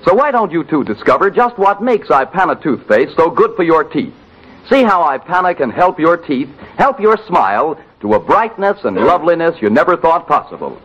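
Male vintage ad announcer, animated intonation, scripted pitch, dull old broadcast sound. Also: moderate pace. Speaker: commercial announcer. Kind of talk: 1940s radio advertising read. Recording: muffled vintage radio broadcast.

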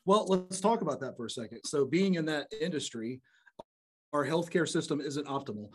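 A man's voice: on the high side, expressive, fairly quick, breathy edge. A man hosting a business podcast, enthusiastic as he introduces guests and leads the questions. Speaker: podcast host.

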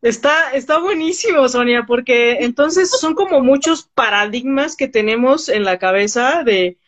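A woman's voice: high-pitched, animated, unhurried. A woman hosting a casual interview, who talks hesitantly and often restarts her sentences. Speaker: interview host. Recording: dull lo-fi sound.

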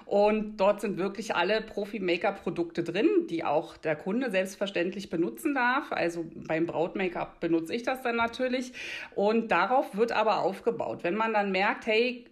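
A woman's voice: medium pitch, normal speed. A middle-aged woman from the Berlin area of Germany, chatting at length in careful standard speech as an interview guest who works as a make-up artist. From Brandenburg near Berlin, Germany. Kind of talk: conversational interview answers.

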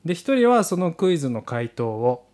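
A man's voice: a little high, big pitch swings, moderate pace, a little mellow. A man co-hosting a podcast with playful, teasing banter and frequent laughter. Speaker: podcast co-host. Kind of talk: playful banter, explaining quiz rules.

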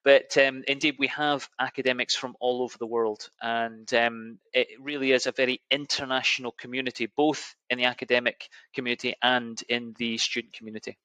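A man's voice: mid-range voice, little pitch movement, fairly quick, few pauses, bright, forceful tone, clean tone, no echo. A man with a Scottish accent, an admissions officer answering interview questions with enthusiasm and frequent restarts. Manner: enthusiastic, hesitant with many restarts.

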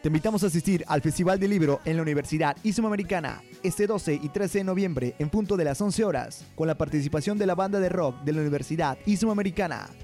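A man's voice: fairly high pitch, fast, hardly any pauses, darkish tone, faint music behind. A man presenting and narrating on radio in a scripted, formal announcer style.

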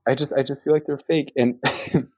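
A man's voice: medium pitch, little pitch movement, brisk, darkish tone. A man with an American accent chatting casually and playfully, with lots of filler words. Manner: loose and playful, full of "like" fillers. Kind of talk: casual chat. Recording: slightly dull, dry sound.

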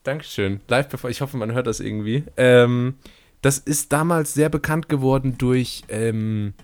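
A man's voice: medium pitch, moderate pace, no echo. A young man co-hosting a podcast, chatting casually and cracking jokes. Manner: joking, plays guitar and sings snippets. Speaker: podcast co-host. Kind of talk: casual chat.

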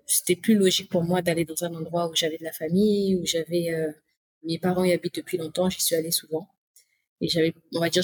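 A woman's voice: fairly low pitch, darkish tone, breathy edge. A woman in her late thirties, a guest in a casual interview, chatty and rambling with many fillers and restarts. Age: late 30s. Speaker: podcast guest.

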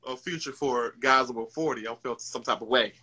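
A man in his early thirties joins a relaxed interview as the guest and talks in an easygoing way. His voice is husky and bright, at a middle pitch. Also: fairly quick. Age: 30s. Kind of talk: casual interview chat.

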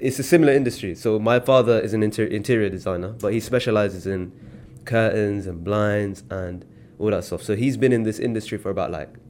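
A young British man, telling stories in a relaxed, slangy way as a podcast guest. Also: on the low side, a little hiss or hum. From Britain. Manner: relaxed storytelling with slang and fillers. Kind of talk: casual podcast chat.

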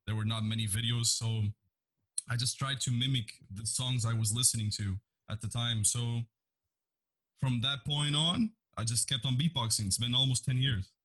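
A man tells his story thoughtfully over a video call. His voice is husky and sits at a middle pitch. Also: normal speed, fairly bright tone, rough recording. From Madeira, Portugal. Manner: thoughtful storytelling with fillers and restarts. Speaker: guest, beatboxer. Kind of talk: casual interview chat.

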